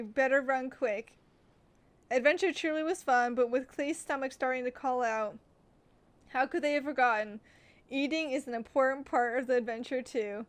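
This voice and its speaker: A woman's voice: sits high, clear, resonant tone. A woman game streamer reading game text aloud like a storybook and reacting live in a gushing manner.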